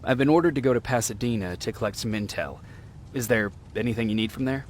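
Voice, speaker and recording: An actor playing an earnest, terse resistance soldier in a video game drama, speaking in short lines. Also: male voice, mid-range voice, fast talker, lightly acted.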